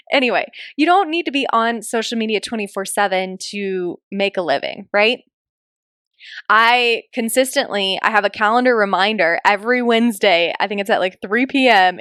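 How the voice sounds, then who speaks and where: A woman's voice: fairly high pitch, fairly bright tone, no echo, clean studio sound. A woman hosting a solo podcast in a casual, chatty and emphatic way, speaking informally as a business coach.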